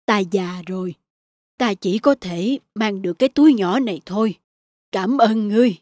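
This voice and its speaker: A woman voicing a gentle old woodcutter in a children's fairy tale, softly and compassionately. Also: medium pitch, normal speed, no room sound, clean studio sound, lightly acted. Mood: humble. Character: kind, gentle old woodcutter, a poor man. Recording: music behind the voice.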